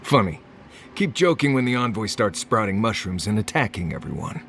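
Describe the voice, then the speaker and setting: Low-voiced, grumbling wary guide. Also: male voice, very animated, moderate pace, vocal fry, fairly bright tone, a little hiss or hum, full character voice. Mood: dry, suspicious. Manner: dry, grumbling, blunt. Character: wary, cynical male wilderness guide companion. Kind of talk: video game voice acting. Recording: background game music.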